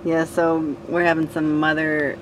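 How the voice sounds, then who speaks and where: A woman's voice: low voice, level tone, measured pace. A middle-aged woman chatting casually to her children, relatives and the camera as a family vlogger. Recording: noisy handheld home-video recording.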